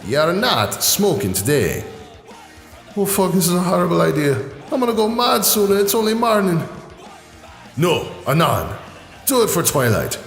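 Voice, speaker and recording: A man playing a gruff, brash, hard-drinking Irish rogue with a soft spot, blunt and sardonic in manner. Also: sits high, sing-song, moderate pace, long pauses, soft background music, full character voice. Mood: agitated self-scolding. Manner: blunt, sardonic, foul-mouthed.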